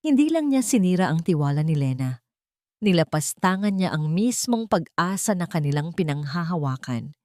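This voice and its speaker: A woman's voice: lowish voice, sing-song, normal speed, a little mellow, no echo, studio quality. A woman narrating a scripted romantic drama as a storyteller, in a dramatic reading style.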